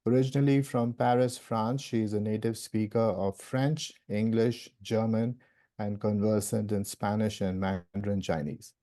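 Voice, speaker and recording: A man acts as host of a talk, introducing the speaker and handling housekeeping. His voice is fairly low, with a dark, relaxed tone, and part of his delivery is read out. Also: normal speed. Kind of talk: event introduction, partly read out.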